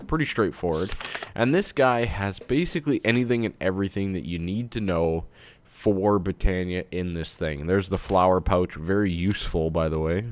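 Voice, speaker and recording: A man with a stuffed-up, congested voice, chatting casually while he plays a game and thinks aloud as host. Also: on the low side. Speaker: let's-play host. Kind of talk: casual gameplay commentary.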